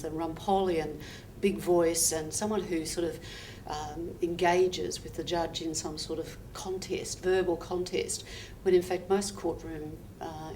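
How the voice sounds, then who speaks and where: A woman barrister speaking in a measured, slightly hesitant way on a panel. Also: lowish voice, darkish tone. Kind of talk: panel discussion. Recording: distant mic with faint hiss.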